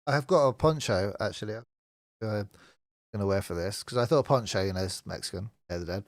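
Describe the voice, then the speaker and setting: Male co-host in his twenties to thirties, low voice, easygoing and chatty, dry close mic. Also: fairly quick, no room sound. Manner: relaxed, chatty, laughs often. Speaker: podcast co-host, touring musician. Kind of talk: casual live podcast chat.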